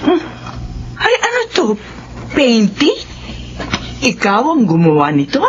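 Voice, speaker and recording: A woman's voice: a little high, wildly swooping pitch, slow pace, full character voice. An actress playing a caring, hardworking middle-aged mother of grown children in a radio drama, tender and earnest. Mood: surprised, proud. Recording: dull, lo-fi radio drama with noise.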